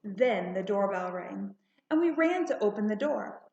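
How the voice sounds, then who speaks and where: A woman narrating a children's storybook aloud in a deliberate, expressive way. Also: medium pitch. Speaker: storybook reader. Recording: roomy, slightly muffled home recording.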